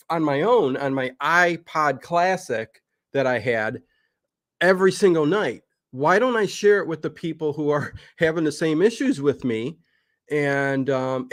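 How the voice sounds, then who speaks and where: High-voiced man, chatty storyteller, dry sound. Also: no room sound. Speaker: livestream channel host. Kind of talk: casual livestream storytelling.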